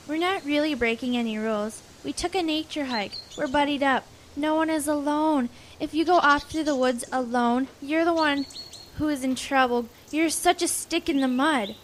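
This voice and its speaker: A woman's voice: sits high, moderate pace, slight background noise, close to an everyday voice. A woman playing a bossy, adventurous young girl camper in an audio drama, speaking emphatically. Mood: scornful.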